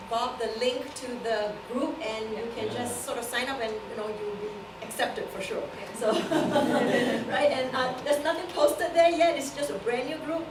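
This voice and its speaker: A woman speaking informally to a gathering in a conversational, rambling way. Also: a little high. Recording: roomy, noisy hall, distant mic.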